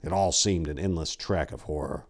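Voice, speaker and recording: A man giving a solemn, theatrical dramatic reading of a dark fantasy story as its narrator, with a grave delivery. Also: extremely low pitch, normal speed.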